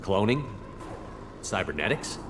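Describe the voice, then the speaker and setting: A man's voice: fairly low pitch, sing-song, measured pace. A man playing a terse, direct military commander in a video game. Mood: probing. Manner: direct, clipped questioning. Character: terse, questioning male military commander seeking answers. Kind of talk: video game character acting. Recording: noisy battle ambience behind.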